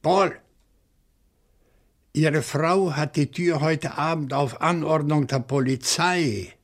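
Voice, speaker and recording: Husky, higher-voiced accusing professor. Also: male voice. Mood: grave, deductive. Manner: deliberate, probing, dramatic questioning. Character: agitated, accusing male professor playing detective. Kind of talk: German radio crime drama acting.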